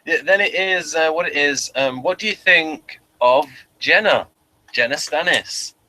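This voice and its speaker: A man's voice: on the high side, unhurried, bright, forceful tone, rough recording. A man in casual conversation as a review co-host, chipping in with repeated words. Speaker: podcast co-host reviewing a TV series. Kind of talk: casual chat.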